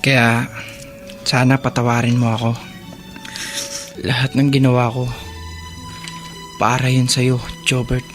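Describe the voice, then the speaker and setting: A caring Filipino older brother played by a man in a radio drama. He is gentle and sincere, with a medium-pitched voice over background music. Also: completely flat delivery, slow, long pauses, lightly acted. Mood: sincere, apologetic. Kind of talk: Tagalog radio drama acting.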